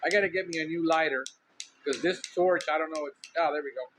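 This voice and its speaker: A man's voice: a little high, fast talker, fairly bright tone, slight background noise. A middle-aged man from Miami with a Cuban Miami accent, rambling candidly as a podcast host in a casual monologue. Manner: rambling, candid, lots of ums.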